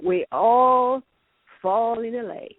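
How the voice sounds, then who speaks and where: A woman's voice: fairly high pitch, takes it slowly, dry recording. Chatty woman on a tinny phone line. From raised in Venezuela and Canada. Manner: chatty, rambling, laughs often. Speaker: guest actress. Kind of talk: casual interview chat.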